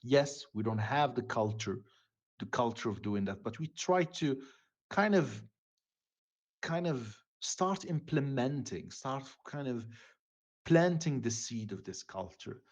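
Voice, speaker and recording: A man giving an online lecture in an earnest, halting way, with frequent restarts, over a video call. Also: mid-range voice, lively intonation.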